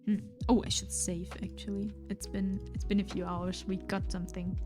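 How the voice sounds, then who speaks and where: A woman's voice: mid-range voice, flat delivery, normal speed. Chatty young woman streaming while drawing. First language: German. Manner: chatty, thinks aloud with many fillers and restarts. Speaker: livestreamer. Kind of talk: casual chat while drawing. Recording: faint lofi music underneath.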